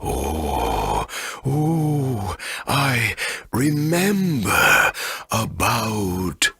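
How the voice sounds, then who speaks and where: A man voicing a huge, ancient great elder tree in a video game, with a raspy voice and a halting, rambling way of remembering. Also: huge pitch swings, sharp tone, dry recording, heavily acted. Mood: slow recollection. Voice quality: very deep, gravelly, raspy. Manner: slow, halting, rambling recollection.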